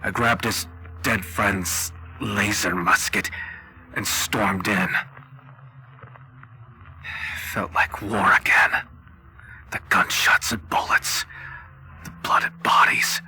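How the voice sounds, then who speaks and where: A man voicing a weary, haunted war-veteran survivor in a halting, grave, half-whispered game roleplay monologue. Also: lowish voice, fairly even intonation, pushed and bright, slight background noise, pitched below the performer's own voice, exaggerated voice, poor audio. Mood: grim, tense. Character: haunted, weary male war-veteran survivor recording his account on tape. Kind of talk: slow dramatic post-apocalyptic horror game roleplay monologue.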